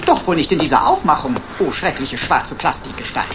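Pompous, swooping computer sidekick. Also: male voice, a little high, wildly swooping pitch, fairly quick. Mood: gleeful mocking. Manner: pompous, florid, mock-servile. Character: cheeky, pedantic talking computer sidekick, servile and mocking. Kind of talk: radio drama acting. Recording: dull, noisy radio drama recording.

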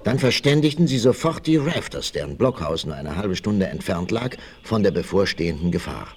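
A man's voice: low voice, big pitch swings, moderate pace, slight background noise. A man narrating a 1960s German audio drama, telling the story in a dramatic, suspenseful way.

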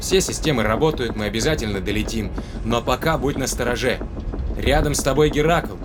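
A man's voice: mid-range voice, brisk, background noise, close to an everyday voice. An actor playing a self-assured male spaceship commander in an audio drama, speaking in an offhand, matter-of-fact way. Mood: offhand, reassuring.

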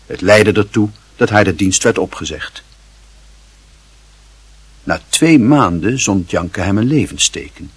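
A man narrating a literary radio play in a measured, formal and wry manner, with a husky voice. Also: on the low side, animated, dry recording.

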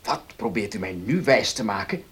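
A man's voice: lowish voice, lively intonation, fairly quick, fairly bright tone, breathy edge, full character voice. An actor playing a proud, distrustful male heir in a Dutch radio play, speaking formally, bluntly and emphatically. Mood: indignant.

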